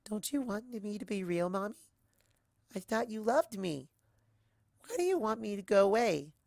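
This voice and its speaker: Man performing small pony son for fantasy audiobook, very raised pitch, childlike pleading, dry sound. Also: expressive, normal speed, creaky, darkish tone, no room sound. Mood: hurt pleading. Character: small young pony boy, needy and sweet. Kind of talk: dramatic fan fiction reading.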